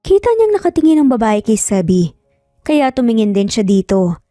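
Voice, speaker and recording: Mellow, high-voiced Filipino woman narrating. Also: sing-song, moderate pace, no room sound, studio quality, soft background music. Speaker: story narrator. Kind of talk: scripted romance story narration.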